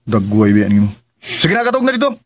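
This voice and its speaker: A man's voice: lowish voice, wildly swooping pitch, fairly quick. An actor playing a bossy, insistent male employer in a radio drama, brusque and commanding, with a Filipino Visayan accent. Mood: bossy, teasing.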